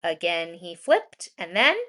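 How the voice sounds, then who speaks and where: A woman reading a rhyming children's story aloud in an expressive, deliberate storytelling manner with emphasized words. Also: on the low side. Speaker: children's storybook reader, educator.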